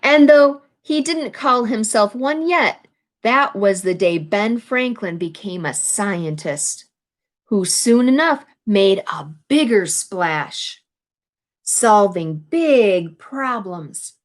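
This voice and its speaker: A woman with a mid-range voice reads a story aloud for young children. Her pace is unhurried, with expressive emphasis on key words. She moves between storytelling and simple, instructive explanation. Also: medium pitch. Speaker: reader of a children's picture book, teaching.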